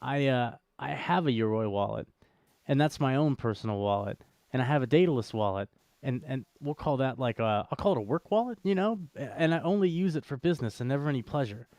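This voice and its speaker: Man, talk show co-host, mid-pitched voice, casual, rambling, repetitive for emphasis, dry studio-like sound. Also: lively intonation, fairly quick, darkish tone, no echo. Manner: repeats phrases for emphasis, rambling. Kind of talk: casual unscripted chat about cryptocurrency.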